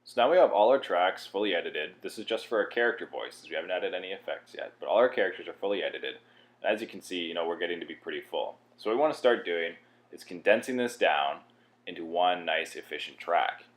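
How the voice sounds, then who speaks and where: A man with a low voice presents a software tutorial. He explains each step in an informal way, as if guiding a beginner through it. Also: lively intonation, fast talker.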